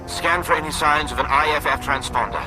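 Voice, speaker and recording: An actor playing a businesslike, focused military operative speaking tersely in a video game. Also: male voice, a little high, brisk, music in the background, close to an everyday voice, rough recording.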